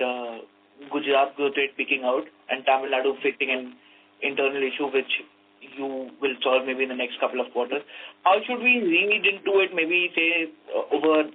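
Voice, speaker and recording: A man with an Indian accent asks questions as an analyst on an earnings call, polite and often rephrasing as he goes. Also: fairly high pitch. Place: conference call. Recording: narrow phone line with faint noise and hum.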